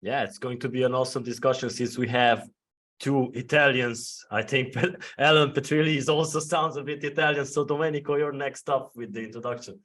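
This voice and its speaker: A man's voice: mid-range voice, fairly even intonation, a little fast, fairly bright tone. A man moderating an online panel, introducing speakers in a light, joking way. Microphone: video call.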